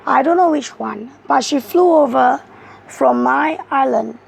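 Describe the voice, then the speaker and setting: A woman with a hoarse voice, giving a rambling, halting monologue as a tarot reader. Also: high voice, poor audio. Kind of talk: casual tarot video monologue.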